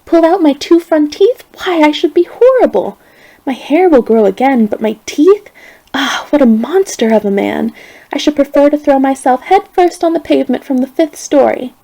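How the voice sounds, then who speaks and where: A woman voicing a desperate young mother, proud and bitter, her voice rising high in scorn and outrage. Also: lively intonation, normal speed, creaky, mellow, poor audio. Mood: outraged.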